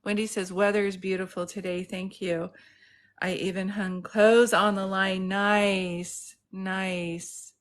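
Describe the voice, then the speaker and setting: A middle-aged woman psychic medium hosting a casual live stream, chatting warmly with viewers, greeting them by name and reading out their comments. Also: medium pitch, little pitch movement, slow. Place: home in Santa Cruz.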